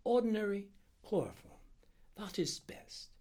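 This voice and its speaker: A man voicing an anxious, precise little Indian doctor in an audiobook, speaking in an urgent and deliberate way. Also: high-pitched, lively intonation, warm tone, voice pushed up. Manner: urgent, halting, deliberate, breathy at times. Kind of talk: audiobook character dialogue.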